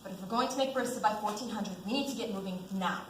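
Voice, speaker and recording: A woman's voice: medium pitch, rapid-fire, close to an everyday voice. A young woman acting in a student stage drama as a dutiful, pragmatic army lieutenant, formal and earnest. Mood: brisk. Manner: formal, earnest military delivery. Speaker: student actor. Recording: echoey stage with background noise.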